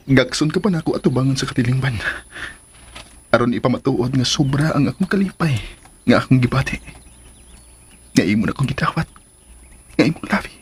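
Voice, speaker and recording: A man's voice: mid-range voice, fairly quick, long pauses, slight background noise, natural voice. An actor playing an ardent, tender male lover with a Filipino accent in a sentimental radio drama, speaking earnestly over music. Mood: tender, joyful. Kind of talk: Philippine radio drama acting, sentimental romance.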